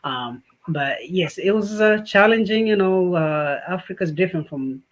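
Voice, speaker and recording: A man from Tanzania, a guest on a podcast, talking in a warm, grateful way with many fillers and restarts. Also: high voice, expressive, a little slow. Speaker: guest, healthcare business owner. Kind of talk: casual interview.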